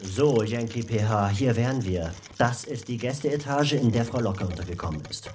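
A man playing a brisk, businesslike guard in a children's radio play, speaking in a clipped way. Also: medium pitch, a little mellow, lightly acted. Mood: brisk, official. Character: brisk guard escorting people in a villains' tower. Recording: music and piano behind the voice.

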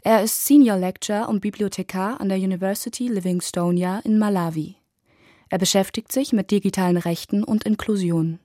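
Mellow-voiced woman reading a radio report. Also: medium pitch, a little fast, dry recording, clean studio sound. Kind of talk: scripted radio report narration.

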